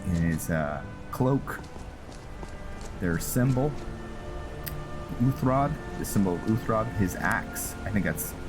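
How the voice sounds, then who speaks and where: Man, deep voice, slow, halting commentary, gameplay music loud. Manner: halting, many fillers and restarts. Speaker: roleplay gaming host. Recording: voice over loud game music.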